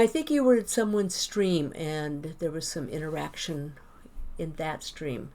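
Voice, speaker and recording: A woman streaming a game, chatting casually with viewers and rambling as she thinks out loud. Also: fairly low pitch, gravelly. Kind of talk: casual gameplay chat with viewers.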